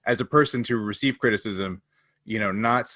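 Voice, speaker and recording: A man's voice: on the low side, normal speed, bright, forceful tone, dry recording, studio quality. A man co-hosting a podcast, talking casually and explaining his points in an earnest way. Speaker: podcast co-host. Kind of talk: casual discussion.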